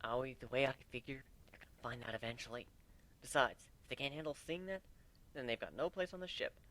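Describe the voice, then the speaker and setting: A man voicing a brash, cocky young griffin captain in a dramatic story reading, with a sarcastic, excitable manner. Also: medium pitch, fairly quick, natural voice. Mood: low, reasoning.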